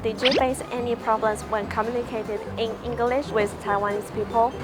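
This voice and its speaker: A woman's voice: a little high, little pitch movement, normal speed, over loud music. A woman interviewer asks travelers short questions in a street interview and follows up on their answers.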